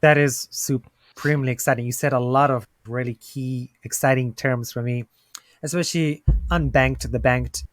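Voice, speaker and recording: A man's voice: medium pitch, fairly even intonation, normal speed, no room sound. Podcast host guy from south africa, upbeat, chatty, dry close mic. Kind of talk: podcast interview.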